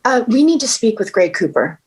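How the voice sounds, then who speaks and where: An actress playing a no-nonsense police detective with a wry, matter-of-fact manner in a scripted table read. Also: female voice, a little high, big pitch swings, fairly quick, a little mellow, breathy edge, heavily acted. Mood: businesslike. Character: no-nonsense, dryly coaxing female police detective.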